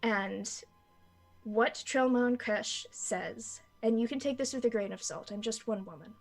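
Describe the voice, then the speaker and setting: A troubled woman soldier speaks haltingly and restarts often as she searches for words, as a woman plays her. Faint music sits under her voice. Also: on the high side. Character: doubting woman soldier, earnest and unsure. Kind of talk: in-character tabletop roleplay.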